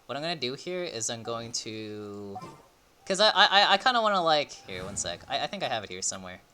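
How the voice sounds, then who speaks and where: Animated, bright-voiced male game streamer. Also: medium pitch, brisk, slight background noise. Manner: rambling, excitable, thinking aloud. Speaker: livestreamer playing a game. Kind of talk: casual gaming commentary.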